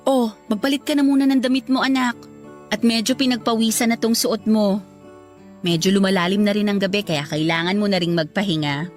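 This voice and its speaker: A woman's voice: medium pitch, brisk, soft background music, natural voice. An actress playing an emotive young wife and mother with a Filipino accent in a radio drama. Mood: affectionate. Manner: emotive, expressive. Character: loving young wife and mother, quick to reproach.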